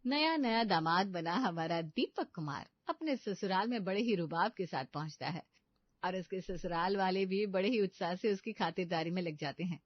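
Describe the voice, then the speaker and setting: A woman's voice: on the low side, animated, moderate pace, dry recording. A woman narrator reading a scripted comic family story. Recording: dull, lo-fi studio voice-over.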